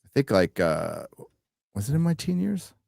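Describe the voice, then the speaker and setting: A man co-hosting a casual podcast, reading articles aloud slowly and joking with the others. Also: fairly low pitch, very animated, creaky, a little mellow, no echo, clean studio sound. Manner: reads aloud slowly, jokes and trails off. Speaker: co-host.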